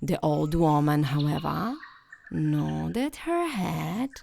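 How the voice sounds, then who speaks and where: A woman narrating a bedtime fairy tale as a storyteller, in a gentle, soothing and expressive way. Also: low-pitched, slow, vocal fry, a little hiss or hum.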